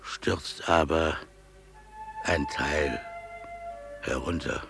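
A man's voice: extremely low pitch, very slow, creaky, heavily acted. An actor playing a poor, humble wandering showman and father with a solemn, reverent manner in a 1950s German radio drama. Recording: 1950s radio recording, music behind.

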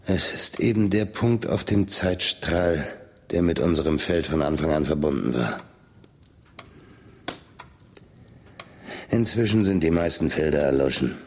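A very low-pitched, husky male voice playing a weary older man in a radio drama. He speaks slowly and deliberately, with dry, sardonic resignation, as someone foretelling catastrophe. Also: full character voice. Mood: resigned, sardonic. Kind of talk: German radio drama acting, slow monologue.

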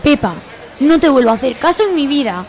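A woman's voice: sits high, expressive, brisk, a faint crowd. A woman playing a chatty, jilted friend with a Spanish accent from Spain, confiding colloquially in a radio comedy serial. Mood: aggrieved.

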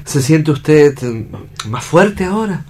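Slow-spoken, gentle doctor. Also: male voice, on the high side, expressive, slight background noise. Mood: concerned. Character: calm, hesitant, kindly male doctor running a refuge. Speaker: actor in a radio play. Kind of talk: dramatic staged radio reading.

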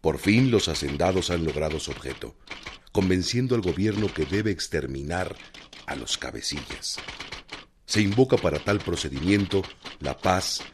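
An actor playing a grave reader of an old newspaper report in a radio drama, solemn and emphatic. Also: male voice, low-pitched, expressive, moderate pace. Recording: clearly noisy, clicking background.

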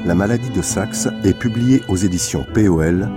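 A man reading the closing credits of a radio drama in an even, formal manner. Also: low-pitched, normal speed. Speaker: credits announcer. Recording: music under the voice.

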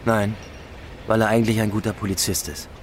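An actor playing a stubborn, defiant police detective in a radio drama, terse and determined. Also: male voice, on the low side, little pitch movement, a little fast, a little hiss or hum, close to an everyday voice.

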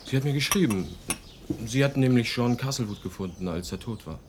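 A man playing a reserved writer abroad in a radio drama. His medium-pitched voice is measured and polite with a quiet unease. Light outdoor sound and birds lie behind it. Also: lively intonation, background noise, close to an everyday voice. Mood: uneasy, guarded. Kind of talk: German radio play acting.

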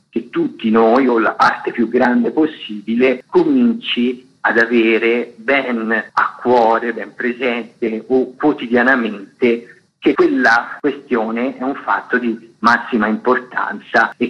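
A man's voice: mid-range voice, breathy edge, lo-fi sound. A man explaining a programme at length as an articulate guest in a radio interview. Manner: articulate, long-winded explanatory sentences. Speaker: festival artistic director, interviewed guest.

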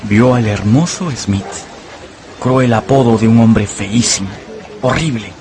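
A man's voice: lowish voice, a little slow, noisy background. A man giving literary storytelling narration for a radio adaptation of a novel. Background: music.

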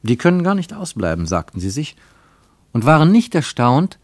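An actor playing the sober narrator of a literary travel story, reading scripted narration in a German radio play. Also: male voice, mid-range voice, big pitch swings, normal speed, dry recording, studio quality. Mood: sober, measured.

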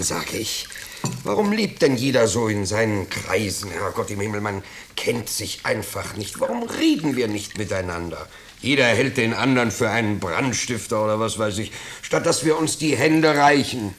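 An old, slightly noisy 1950s radio play recording carries a well-to-do husband with a low voice. He is anxious and eager to please, blustering pompously and pleading with his guests in a theatrical manner. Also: sing-song, moderate pace, a bit bright. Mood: effusive, pompous. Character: anxious, ingratiating well-to-do bourgeois husband and host.

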